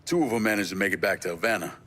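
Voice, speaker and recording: A man's voice: fairly low pitch, racing, a bit bright, close to an everyday voice. An actor playing a terse, tough, plain-spoken American man in a video game, over background noise. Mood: serious, probing. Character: terse, tough male street operator, partner to agent. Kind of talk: dramatic video game acting. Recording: clearly noisy background.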